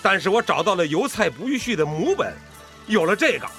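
Earnest farmer father, lively higher-pitched voice. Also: fairly quick. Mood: determined. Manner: heartfelt, folksy, exclaiming. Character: earnest middle-aged farmer father with a stubborn dream. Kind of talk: Chinese radio drama acting. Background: music.

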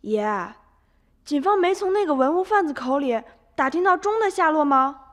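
A woman's voice: high voice, lively intonation, normal speed. A woman playing a curious, eager young grandchild in a radio drama. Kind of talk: Chinese radio drama acting. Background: music.

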